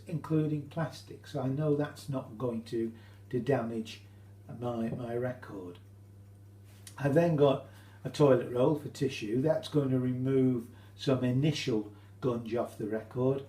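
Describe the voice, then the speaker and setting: A British man giving an informal, halting how-to demonstration, explaining each step as he goes. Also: mid-range voice, mellow. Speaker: hobbyist demonstrator. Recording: roomy echo, faint hum.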